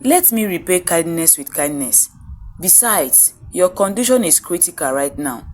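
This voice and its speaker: A woman storyteller playing a gentle, grateful old mother who speaks tenderly and affectionately. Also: lowish voice, expressive, normal speed, slight background noise, lightly acted. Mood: gently insistent.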